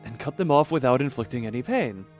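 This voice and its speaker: A man's voice: medium pitch, lively intonation, quick pace. A man live-streaming a game, reading the dialogue aloud dramatically and adding casual asides. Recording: game music behind the voice. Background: game music.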